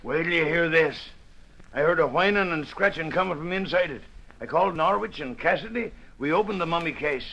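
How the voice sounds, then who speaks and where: An excitable police sergeant in an old radio serial. His voice is high and bright, with folksy, urgent storytelling. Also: fairly quick, creaky, muffled recording, heavily acted. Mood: excited, urgent. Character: excitable, plain-spoken male police sergeant. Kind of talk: old time radio acting.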